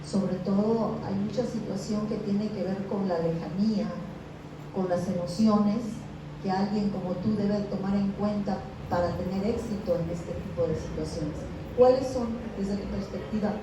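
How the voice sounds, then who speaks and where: A woman interviewer puts poised, formal questions to her guest. Her voice sits in a middle register. She sounds far from the mic in a very echoey space, with steady hiss. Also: mid-range voice, unhurried. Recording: echoey, distant, noisy video call audio.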